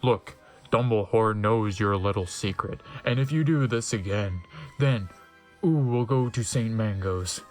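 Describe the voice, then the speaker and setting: A man voicing a mean, sneering male schoolteacher villain with a menacing, deliberate manner. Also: fairly low pitch, soft background music, voice pushed down, close to an everyday voice. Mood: threatening.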